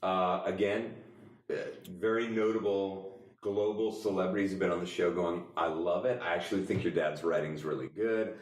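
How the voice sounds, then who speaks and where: A man with a very low voice talks casually as a podcast co-host. He explains his points with deliberate emphasis. The room echoes, and he sits back from the microphone. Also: fairly even intonation. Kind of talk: casual discussion. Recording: echoey room, distant mic.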